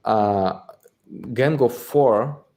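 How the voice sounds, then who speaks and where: A man's voice: mid-range voice, extremely slow, mellow. Hesitant man. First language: Russian. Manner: hesitant, searching for words, many fillers. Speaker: guest, exchange co-founder. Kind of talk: interview answers.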